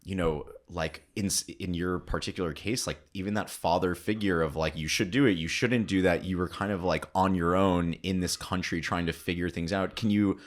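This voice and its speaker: A man's voice: low voice, fairly even intonation, moderate pace, few pauses. A man speaking conversationally as the host of a podcast interview.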